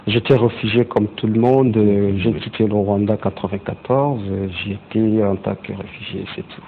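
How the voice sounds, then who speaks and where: A man guest giving plain, measured answers about his past in an interview. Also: lowish voice, fairly even intonation, vocal fry. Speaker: panel guest. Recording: tinny phone-like sound with faint noise.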